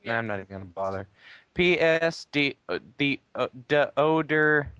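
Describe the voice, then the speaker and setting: A man's voice: on the high side, animated, normal speed, sharp tone, smooth, no echo, dull audio. A man giving a dramatic reading of fan fiction, breaking off with mocking asides. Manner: breaks off to mock the text. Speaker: player in a dramatic reading game.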